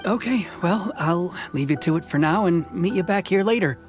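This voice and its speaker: A man's voice: sits high, lively intonation, normal speed. An actor playing a nervous, cautious young man in a video game who hesitates and stammers politely. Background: game background music.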